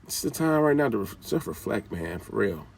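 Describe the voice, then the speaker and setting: A man's voice: fairly low pitch, big pitch swings, moderate pace, creaky, distant mic. A man giving an informal, scolding talk with casual slang, often repeating his phrases.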